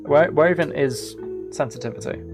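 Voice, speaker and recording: A man's voice: a little high, moderate pace, music in the background. A man live-streaming video games, chatting casually to his viewers and thinking aloud as he plays.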